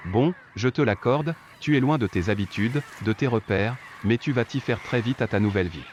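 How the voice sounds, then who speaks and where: A man's voice: mid-range voice, moderate pace, slight background noise, close to an everyday voice. A man playing a breezy, teasing guard on a prison island in an audio drama, casual and chatty.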